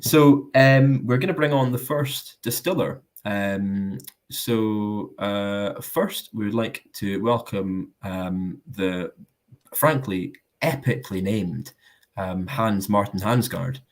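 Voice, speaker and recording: Deep voiced guy hosting a whisky tasting, lots of ums, chatty and self-deprecating. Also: slow. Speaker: host of a live whisky tasting. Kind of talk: casual hosting chat.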